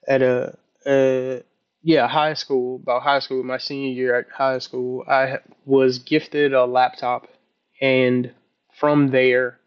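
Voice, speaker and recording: A man in his early thirties talking casually in a halting, rambling way, as if keeping a personal video journal. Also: medium pitch, clean tone, lo-fi sound. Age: early 30s. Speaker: vlogger.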